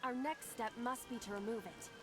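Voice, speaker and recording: A woman's voice: a little high, normal speed, natural voice. An actress voicing a precise, instructive female AI eyeball companion in a detective video game, explaining things matter-of-factly. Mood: instructive, assured. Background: game music.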